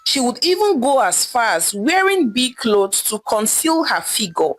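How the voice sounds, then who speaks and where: A woman narrating a scripted folktale in a dramatic, expressive storytelling manner. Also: on the high side, unhurried. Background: background music.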